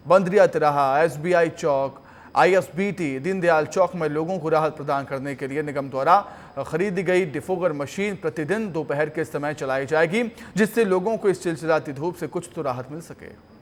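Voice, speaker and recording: A man reading television news as an anchor. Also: fairly high pitch. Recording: music bed under the voice. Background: news music.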